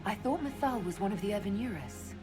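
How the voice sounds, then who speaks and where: A woman's voice: mid-range voice, lively intonation, fast talker, lightly acted. An actress playing a female elven hero in a video game, a wounded lover who questions earnestly and directly. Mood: probing. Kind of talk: dramatic video game dialogue. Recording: music behind the voice. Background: music.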